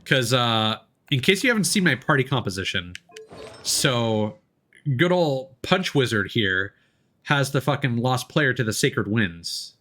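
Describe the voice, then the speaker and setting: A man's voice: mid-range voice, expressive, a little slow, pushed and bright. A man giving casual, informal, sweary live commentary as a game streamer.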